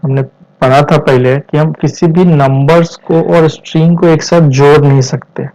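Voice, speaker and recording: A man in his twenties with an Indian accent explains step by step as a tutorial instructor, in halting short phrases mixed with English terms. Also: a little high, steady tone, darkish tone. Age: 20s. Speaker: programming instructor. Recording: dull, lo-fi voice recording.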